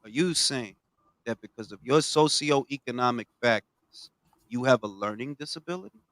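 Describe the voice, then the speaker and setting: A man hosting a boxing talk show, ranting with heavy profanity and swinging from low mutters to loud outbursts. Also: moderate pace, no room sound. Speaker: podcast host.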